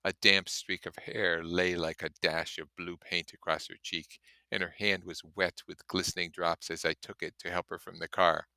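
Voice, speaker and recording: A man's voice: deep voice, flat delivery, normal speed, few pauses, pushed and bright, dry recording. A man reading a story aloud in an audiobook style, with casual commentary of his own. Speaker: reader and commentator.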